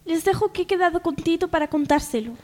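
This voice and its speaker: A woman's voice: very high-pitched, quick pace, darkish tone, long reverb tail. A woman playing a young woman in an amateur language radio soap opera. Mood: reluctant, unimpressed.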